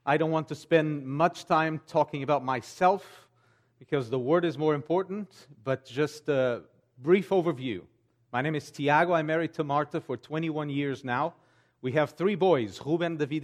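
A man in his forties speaks English with a Portuguese accent, his voice pitched a little high. He addresses a congregation as a pastor, in measured, earnest phrases. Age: 40s. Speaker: pastor and seminary teacher. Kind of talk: church address to a congregation, giving updates and prayer requests.

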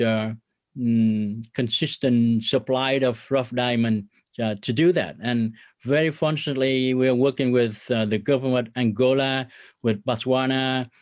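A middle-aged man from Vietnam, a business guest on a podcast interview, speaking earnestly with many filler pauses and religious phrasing. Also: medium pitch, darkish tone, no echo, clean studio sound.

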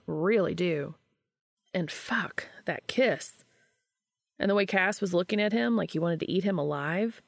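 A woman narrating fiction as an audiobook reader, in an expressive storytelling style. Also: lowish voice, gravelly, no room sound.

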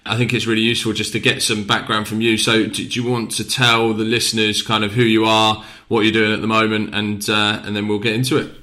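A man's voice: fairly low pitch, monotone, brisk, hardly any pauses, pushed and bright. A man hosting a casual podcast interview, asking rambling questions with hesitations and repeated words.

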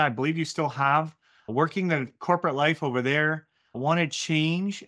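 A man hosting a podcast interview, upbeat and quick with enthusiastic interjections. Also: fairly high pitch, a bit bright. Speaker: podcast host.

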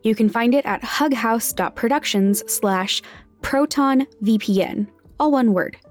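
A woman's voice: fairly high pitch, a little slow. A woman reading scripted sponsor messages and listener notices as a podcast announcer. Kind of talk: scripted sponsor read and content warning. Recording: music underneath. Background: background music.

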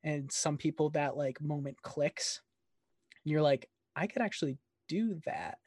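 A man's voice: a little high, measured pace, a little mellow, no room sound, clean studio sound. A man hosting a casual interview, rambling and encouraging with plenty of filler words. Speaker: interviewer host.

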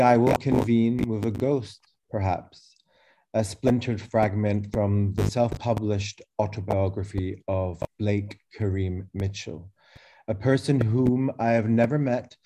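A man whose first language is Arabic, reading a measured, lyrical spoken essay about a work he is presenting. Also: on the low side, mellow, rough recording. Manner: measured, deliberate, lyrical phrasing. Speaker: artist presenting a sound work.

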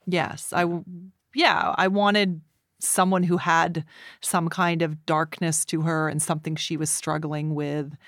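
A woman's voice: deep voice, flat delivery, measured pace, a bit bright, dry recording, studio quality. A woman guest chatting casually, telling anecdotes with chuckles along the way. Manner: chuckles while telling stories. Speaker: interview guest and performer.

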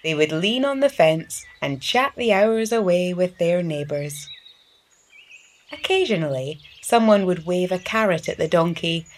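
A woman reading a story for young children in an expressive, deliberate storytelling manner, as the host of a story series. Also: low-pitched, slight background noise.

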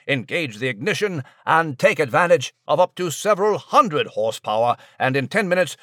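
A man's voice: fairly high pitch, fairly bright tone, no room sound, studio quality. A man playing a pompous, florid newsroom reporter delivering a chatty mock news report.

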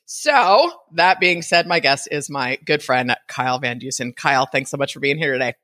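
Animated, chatty woman podcast host. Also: very deep voice, moderate pace. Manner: chatty and animated, with frequent laughs and asides. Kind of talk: casual podcast conversation.

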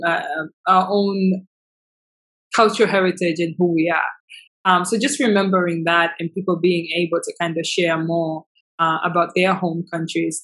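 A woman's voice: fairly low pitch, a bit bright, low-quality recording. Enthusiastic woman from Tanzania, interview guest. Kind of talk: conversational interview answers.

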